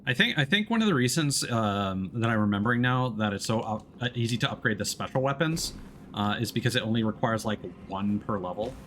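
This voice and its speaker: A man giving casual live commentary as he plays a video game, thinking aloud in a halting way. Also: medium pitch, lively intonation, fairly bright tone, slight background noise. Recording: game music behind the voice. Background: game music.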